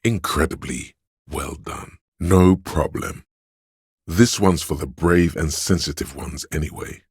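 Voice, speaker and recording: A man's voice: measured pace, husky, no echo, studio quality, full character voice. A man playing a seductive, indulgent inner voice of craving in a video game, narrating in the second person with a theatrical, coaxing, savoring delivery. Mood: sly, gleeful. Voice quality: very deep, resonant.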